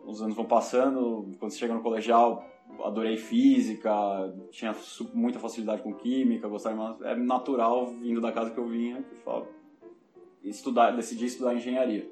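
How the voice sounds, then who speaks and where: A man's voice: mid-range voice, little pitch movement, fairly quick, a little mellow, soft background music. A young man from São Paulo with a Paulista Brazilian Portuguese accent, reflecting as a guest in a casual podcast interview, often restarting his sentences. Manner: reflective, self-correcting, many restarts. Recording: roomy echo.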